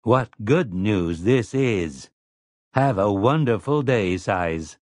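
A man's voice: on the low side, expressive, takes it slowly, darkish tone, dry recording, studio quality. A man voicing a smug, stiff robot. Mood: pleased. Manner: stiff, formal, old-fashioned phrasing. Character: smug polite male robot, tall tin man. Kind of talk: audiobook character voice.

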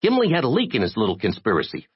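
Audiobook role: tiny crusading reporter, squeaky and husky, slangy, excitable, wildly swooping. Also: male voice, racing, dull audio. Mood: gossipy. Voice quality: squeaky, irritating little voice. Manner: streetwise slangy American talk. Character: tiny, eight-inch man, a frantic, streetwise crusading reporter.

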